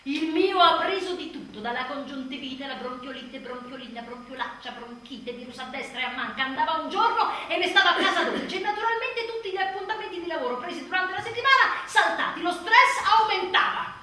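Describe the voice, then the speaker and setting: A woman's voice: extremely high pitch, brisk, hardly any pauses, pushed and bright. An actress playing an exhausted, anxious young mother in a confessional radio theatre monologue, moving between intimate confession and sardonic outburst. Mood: exasperated. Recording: very echoey stage, distant mic, faint noise.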